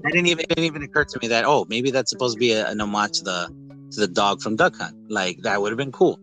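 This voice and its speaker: A man in a casual, rambling podcast-style chat reviewing a film, with animated retellings. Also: on the low side, quick pace, soft background music, poor audio. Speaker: podcast host.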